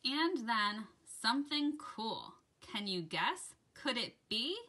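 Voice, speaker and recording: Bright, animated woman reading a children's story. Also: on the high side. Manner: dramatic, deliberate storytelling delivery addressed to children. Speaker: children's story time host and reader. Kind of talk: expressive read-aloud of a rhyming children's picture book.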